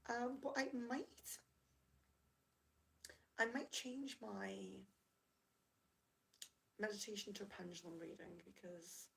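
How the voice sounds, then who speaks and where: Creaky-voiced woman on a livestream. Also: medium pitch, animated, slow pace, long pauses, low-quality recording. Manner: apologetic, chatty, reads out viewer comments. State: losing her voice, slightly hoarse. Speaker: livestream rune reader. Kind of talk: casual livestream chat.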